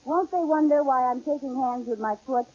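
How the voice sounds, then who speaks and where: Woman playing a high-pitched, flustered housewife, old radio comedy. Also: brisk, heavy background noise, lightly acted. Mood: defensive, teasing. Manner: exasperated, playfully argumentative. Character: flustered, dawdling, quick-retorting housewife. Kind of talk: 1940s radio comedy acting. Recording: dull old radio recording.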